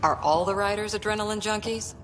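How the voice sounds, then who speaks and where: A woman's voice: medium pitch, fairly quick, big-room sound, noisy background, natural voice. A woman acting as a capable, wry ship's officer in a cinematic sci-fi game. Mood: reassuring.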